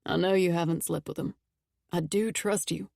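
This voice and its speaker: A woman reading the part of a calm, controlled man in an audiobook, speaking in a measured, soothing way. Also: on the low side, gravelly, no echo, studio quality. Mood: tender.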